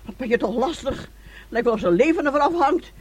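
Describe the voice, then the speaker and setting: Domineering middle-aged mother, scolding and melodramatic, high-pitched with very animated intonation. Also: fairly quick, breathy edge, no room sound. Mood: commanding anger. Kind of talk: radio play acting.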